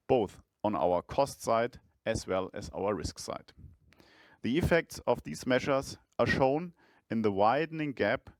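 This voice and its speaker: Male CFO, mid-range voice, formal measured scripted delivery for an earnings call, dry recording. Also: mid-range voice, no room sound. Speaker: chief financial officer presenting results.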